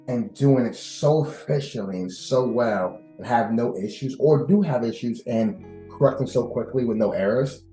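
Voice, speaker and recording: A man in his thirties talking casually as an interview guest, animated and expressive, repeating phrases for emphasis. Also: mid-range voice. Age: 30s. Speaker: podcast guest, air traffic controller. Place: indoors at host's house. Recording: roomy echo, faint music behind.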